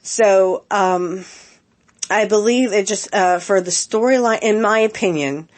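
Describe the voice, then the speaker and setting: A woman hosting a casual podcast, getting over a head cold, talking in a halting way full of ums while giving earnest opinions. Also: mid-range voice. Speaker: podcast host.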